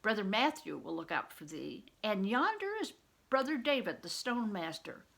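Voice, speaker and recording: A woman's voice: medium pitch, very animated, moderate pace, gravelly, fairly bright tone, heavily acted. An older woman reading the part of a kindly medieval friar in a storybook, with archaic, reassuring, instructive speech. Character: kindly medieval friar, a man caring for a boy.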